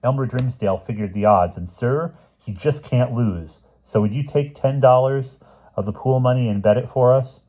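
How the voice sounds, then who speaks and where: An eager storybook schoolboy voiced by a man, pitched up with a husky edge. He is brash, persuasive and undaunted, always selling a scheme. The sound is slightly muffled. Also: moderate pace, natural voice. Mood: eager pitching.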